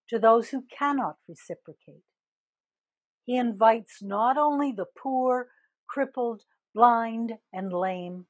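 Older woman minister, husky, measured sermon delivery, dry close sound. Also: fairly high pitch, no echo. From Canada. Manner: slow, deliberate preaching with emphatic rises on key words.

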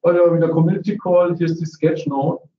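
A man's voice: a little high, fairly even intonation, a little fast. A man on a video call, casually presenting his community platform, dotting his speech with "ne?" and "äh". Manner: chatty, frequent "ne?" tags and "äh" fillers. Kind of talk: casual screen-share presentation. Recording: roomy, distant, dull video-call audio.

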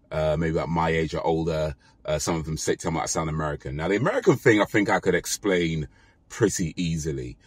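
A British man in his thirties talking casually to his phone in a parked car, relaxed and rambling with fillers and self-corrections. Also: sits low, dry recording. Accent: British English. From Britain. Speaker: vlogger. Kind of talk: casual talk to camera. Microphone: phone camera held close.

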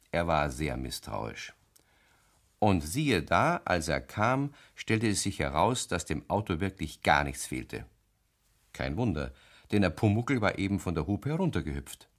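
A man narrating a children's radio play as a storyteller, in an expressive, confiding manner. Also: deep voice, a little slow.

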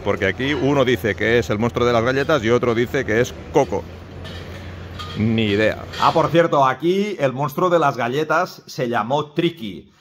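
A man's voice: medium pitch, normal speed, dry recording. A man in his forties from Spain, chatting casually to camera as he shows items at a covered flea market, often asking his viewers questions. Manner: chatty, asks viewers questions, jokes about his English. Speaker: vlogger, flea market dealer. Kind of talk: casual vlog chat to camera. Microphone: phone at arm's length. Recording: noisy open-air market background.

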